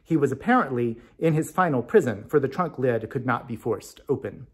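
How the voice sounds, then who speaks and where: A man reading a storybook tale aloud as narrator, in an expressive scripted style. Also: medium pitch, fairly quick, slightly rough. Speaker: story reader.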